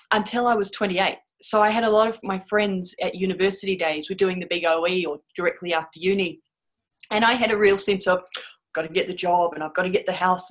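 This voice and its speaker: A woman's voice: medium pitch, a bit bright. Reflective middle-aged New woman. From New Zealand and Australia. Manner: thoughtful, candid, wry. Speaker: interview guest. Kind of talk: reflective storytelling.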